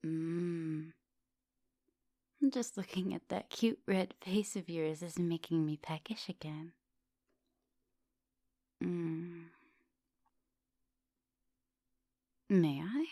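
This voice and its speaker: Low, close vampire professor played by a woman. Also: fairly even intonation, slow pace, pauses often, dry recording. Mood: flirtatious, intimate. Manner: softly teasing, dropping into breathy whispers. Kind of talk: intimate ASMR roleplay.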